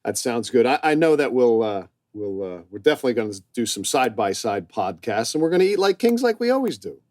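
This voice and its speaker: An American man co-hosting a podcast in casual chat, a little halting and repeating himself for emphasis. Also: mid-range voice, very animated, darkish tone, no room sound. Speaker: podcast co-host, boat captain. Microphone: remote connection.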